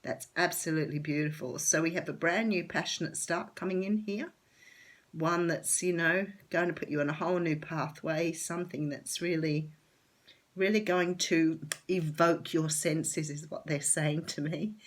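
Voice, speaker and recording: Nasal, low-voiced Australian woman. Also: steady tone, normal speed. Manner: chatty, enthusiastic, many ums. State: nasal and sore-throated from a cold. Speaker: tarot reader. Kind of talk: casual tarot reading to camera.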